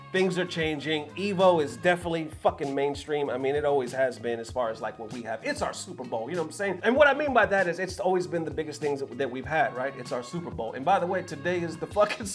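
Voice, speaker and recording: A man's voice: fairly high pitch, lively intonation, quick pace, few pauses. A man from the San Francisco Bay Area talking casually and with animation to viewers as a gaming news host, with slangy, sweary phrasing. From San Francisco Bay Area, California. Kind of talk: casual opinionated vlog talk to viewers. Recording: music playing behind the voice. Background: background music.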